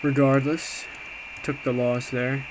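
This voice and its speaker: Even-toned man talking casually over steady noise. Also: mid-range voice, slow pace. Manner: informal, conversational, hesitant, self-reflective. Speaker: amateur day trader recapping trades. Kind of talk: casual screen-share trade recap commentary. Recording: clear steady background noise.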